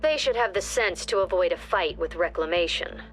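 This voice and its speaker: An actress playing a mission briefing officer in a game, giving orders in a crisp, clipped tone over soft music. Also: female voice, fairly low pitch, expressive, moderate pace, close to an everyday voice. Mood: calm. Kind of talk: game cutscene voice acting.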